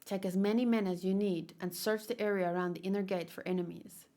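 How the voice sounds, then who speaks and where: A stern manga military commander, read by a woman, grave and decisive. The delivery is measured and commanding, at a middle pitch. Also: steady tone, dark tone, natural voice.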